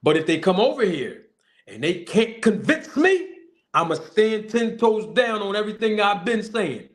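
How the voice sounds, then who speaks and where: A man's voice: high voice, big pitch swings, moderate pace. A man who manages boxers and hosts his own online show, talking emphatically in a casual, slang-heavy style with repeated, preacher-like emphasis. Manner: forceful, repetitive, preacher-like emphasis. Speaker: boxing manager and channel host.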